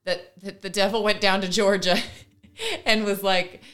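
A woman's voice: mid-range voice, fairly even intonation, a little slow, a bit bright. A woman co-hosting a casual discussion, talking in an earnest, emphatic way as she explains her points. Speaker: podcast co-host.

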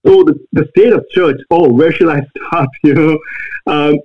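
A man with an Indian accent, a guest answering interview questions earnestly, pausing often on fillers. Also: a little high, lively intonation, husky. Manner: earnest, halting with many fillers. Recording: tinny phone-like line.

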